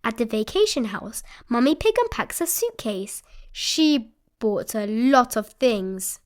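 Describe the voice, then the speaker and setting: High-voiced, animated woman reading to small children. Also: slow. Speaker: storybook reader. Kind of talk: expressive read-aloud narration for small children.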